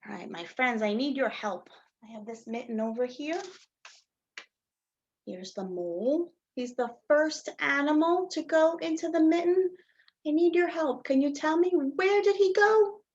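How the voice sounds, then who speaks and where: High-voiced woman reading slowly to children. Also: a little mellow, muffled recording. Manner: sing-song, asks children questions with pauses for answers; simple, deliberate phrasing for small children. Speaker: teacher reading a picture book to young children. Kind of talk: children's story time read-aloud.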